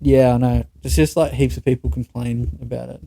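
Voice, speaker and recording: Dry-sounding young Australian man, mellow voice. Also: mid-range voice, little pitch movement, a little fast, gravelly, no room sound. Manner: sweary, slangy, blunt. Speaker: podcast co-host. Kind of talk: casual chat, anecdotes with mates.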